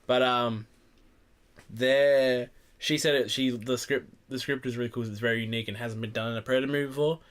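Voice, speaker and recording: A man's voice: mid-range voice, fairly even intonation, brisk. Rambling, jokey young male podcaster. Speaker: podcast host. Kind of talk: casual chat about film news.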